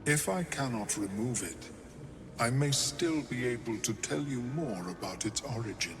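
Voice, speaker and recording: A man voicing a scholarly, courteous mind flayer wizard in a video game, calm and detached, speaking in a measured, formal, precise way. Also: medium pitch, close to an everyday voice. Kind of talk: video game character acting. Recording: long reverb, music behind.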